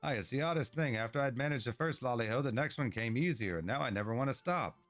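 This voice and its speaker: A man's voice: medium pitch, expressive, fast talker, fairly bright tone, soft background music. A man voicing an eager, awkward, proud young dwarf inventor in a fantasy game, with hesitant, folksy delivery. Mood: excited, proud. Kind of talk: storybook-like game dialogue read aloud.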